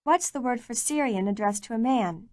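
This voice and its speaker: Clear, measured woman narrating a language course. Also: fairly high pitch, expressive, darkish tone, no room sound, clean studio sound. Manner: clear, measured, prompting the learner. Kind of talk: scripted instructional narration.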